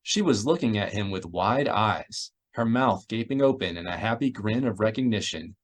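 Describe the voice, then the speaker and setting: A man's voice: fairly low pitch, expressive, normal speed. A man reading a science fiction novel aloud as scripted narration. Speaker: audiobook narrator. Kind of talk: scripted narration of a sci-fi novel, with character voices.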